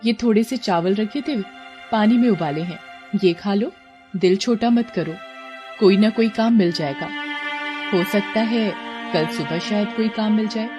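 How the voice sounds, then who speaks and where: A woman voicing a patient, hopeful village wife in an animated moral story, earnest and consoling. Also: mid-range voice, a little slow, natural voice. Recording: loud music under the voice.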